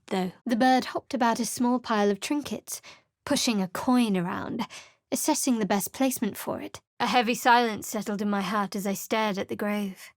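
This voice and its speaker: A woman's voice: on the high side, no echo, close to an everyday voice. An actress voicing a guarded, sardonic young female cleric in a video game, recorded close in a studio booth. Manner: dry, cool and sardonic. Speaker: game character voice actor. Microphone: close studio microphone.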